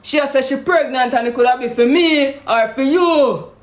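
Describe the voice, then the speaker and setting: A man's voice: extremely high pitch, normal speed, reverberant. A man with a Jamaican accent making a prank phone call, talking pushy and accusing in thick patois. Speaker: prank caller.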